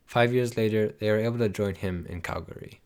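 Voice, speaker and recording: A man telling a life story in scripted narration, with brisk summaries. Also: lowish voice, a little mellow, smooth.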